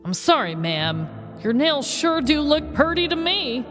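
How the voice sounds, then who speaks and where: A woman's voice: high-pitched, very animated, unhurried, a bit bright, in a large hall, soft background music, voice pushed up, exaggerated voice. A woman voicing a folksy, placating small-town male sheriff's deputy with a drawl.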